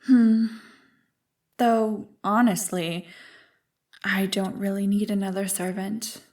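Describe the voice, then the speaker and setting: A woman's voice: mid-range voice, steady tone, takes it slowly, darkish tone, far from the mic, lightly acted. A woman playing an ancient, manipulative evil creature in an ASMR roleplay, teasing and condescending as she savors her words. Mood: bored, teasing. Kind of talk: ASMR roleplay, scripted monologue to the listener. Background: music.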